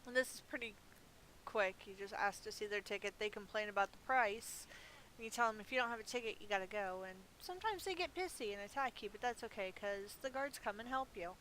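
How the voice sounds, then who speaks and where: A woman's voice: medium pitch, brisk, fairly bright tone, low-quality recording. A woman giving casual gameplay commentary, chatty and playful as she thinks aloud. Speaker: gaming video host. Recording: hissy recording with background noise.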